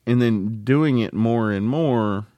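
A man co-hosting a podcast in casual, joking banter, rambling and halting as he explains things. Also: mid-range voice, no echo, lo-fi sound. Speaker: podcast co-host.

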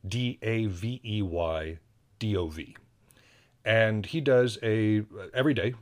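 An American man hosting a solo podcast, talking casually and rambling with self-deprecating asides and frequent restarts. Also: lowish voice. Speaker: podcast host. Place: indoors at home.

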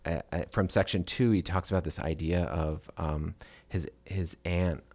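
A man co-hosting a literary podcast, speaking reflectively and a little haltingly. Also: sits low, fairly even intonation, a little mellow. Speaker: podcast co-host. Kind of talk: literary discussion.

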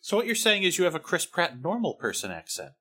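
Brief: male podcast co-host, bright, animated delivery, sarcastic and wry. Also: a little high, fast talker, breathy edge. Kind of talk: casual podcast chat about music history.

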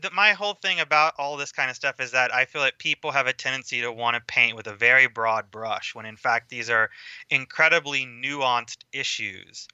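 A man talks through a film discussion as a podcast guest, steady and explanatory, laying out his points at length. His voice is very bright and crisp with a smooth clarity. The recording is dry and a touch dull, lo-fi podcast audio. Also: medium pitch, dry recording. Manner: measured, explanatory, lecturing at length.

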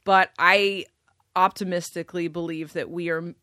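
Deep-voiced woman musing slowly. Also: fairly even intonation, pushed and bright, no room sound, clean studio sound. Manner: reflective, thinks aloud with pauses and restarts. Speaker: podcast guest. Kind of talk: casual conversation.

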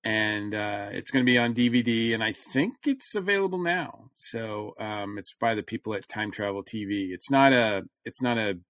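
A man co-hosting a podcast, chatting casually about fan news in a halting, hedging way. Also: on the low side, fairly bright tone, no echo, clean studio sound. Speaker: podcast co-host.

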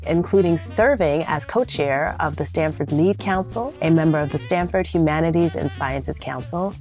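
A woman in her thirties hosting a podcast interview, talking in an upbeat, animated way. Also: low-pitched. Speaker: podcast host. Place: Atlanta.

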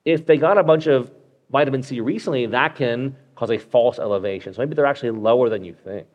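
A man's voice: mid-range voice, brisk, dull audio. A man hosting a live medical podcast. He walks through clinical cases in a conversational way, with lots of fillers and check-ins with the listener.

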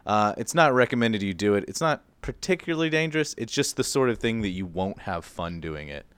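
A man's voice: on the low side, animated, a little fast, fairly bright tone, smooth, no echo. Casual man explaining things, clean dry sound. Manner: informal, loose, self-deprecating, mild slang. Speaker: podcast co-host presenting research.

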